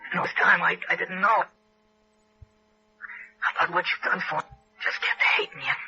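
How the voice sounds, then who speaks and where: An actor playing a dying American convict in a 1960s radio drama, weak and remorseful, speaking haltingly in rough, uneducated phrases. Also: male voice, high-pitched, steady tone, bright, forceful tone, heavily acted. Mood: remorseful, grateful. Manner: halting, rough uneducated speech, trailing and repeating. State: weak, failing, gasping. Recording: dull old radio recording, faint music.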